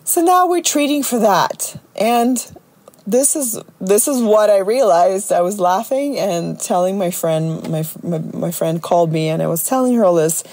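A middle-aged woman with a slightly raspy voice, talking casually and chattily as a solo podcast host and coach. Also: mid-range voice, lively intonation, measured pace, a little mellow.

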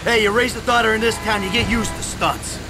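A man's voice: high-pitched, steady tone, brisk, gravelly, over music, rough recording. An actor playing a jaded middle-aged criminal and father in a video game, sardonic and exasperated. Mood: sarcastic, exasperated. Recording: loud traffic and engine noise behind.

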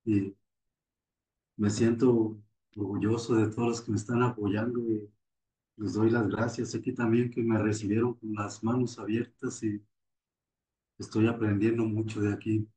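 Soft-spoken Mexican man in his forties. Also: lowish voice, level tone, measured pace, rough recording. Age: 40s. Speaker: resident of a rehab farm. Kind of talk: speaking on a video call. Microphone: video call from far off.

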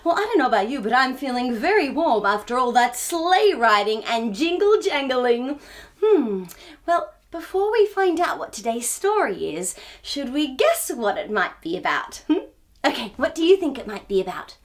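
A woman's voice: high voice, very animated, moderate pace. A woman in her thirties hosting storytime for young children, talking in a playful, exaggerated sing-song way. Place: small furnished room, indoors.

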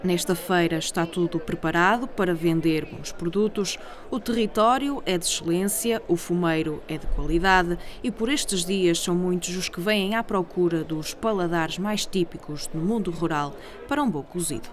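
A woman's voice: on the low side, normal speed, few pauses. A woman with a European Portuguese accent reading scripted news narration as a TV reporter.

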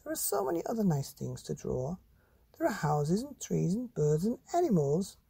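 A man voicing a gently prying, fretful mother with lilting, coaxing questions in a children's storybook. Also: high-pitched, big pitch swings, moderate pace, creaky, husky, dry recording, voice pushed up, heavily acted.

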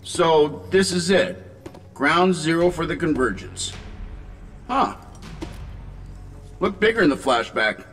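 An actor playing a cocky, flippant genius inventor superhero who teases sarcastically in a video game cutscene. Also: male voice, fairly high pitch, animated, takes it slowly, long pauses, fairly bright tone, long reverb tail, soft background music. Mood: casual, teasing.

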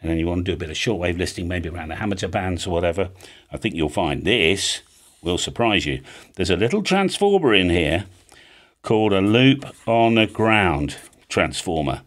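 A man in his fifties with a low-pitched voice talks through a practical explanation. He is chatty and informal, like a hobby channel host showing how something is done, and he often pauses to check that the listener follows. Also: animated. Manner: chatty, casual, often checks in with "all right?". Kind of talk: informal explainer, talking through a hand-drawn diagram. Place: home music studio room, indoors.

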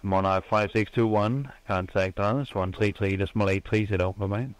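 A man's voice: lowish voice, moderate pace, no echo. A man working as an air traffic controller, giving clipped, procedural radio instructions to pilots. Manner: flat, procedural.

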